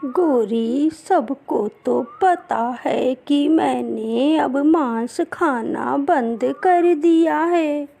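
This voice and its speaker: A woman voicing a scheming old female eagle in a cartoon story, creaky, with slow, drawn-out pleading. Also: high-pitched, warm tone, full character voice. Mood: self-pitying. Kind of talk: cartoon story character acting.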